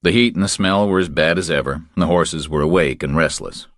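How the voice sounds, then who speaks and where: A man's voice: a bass voice, fairly quick, no room sound, clean studio sound. A man reading a crime thriller audiobook as scripted narration.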